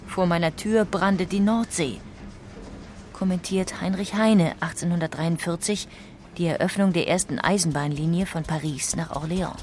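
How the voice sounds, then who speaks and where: Female documentary narrator, lowish voice, composed literary delivery for an audiobook. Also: moderate pace, darkish tone, a little hiss or hum. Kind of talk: scripted documentary narration with read quotations.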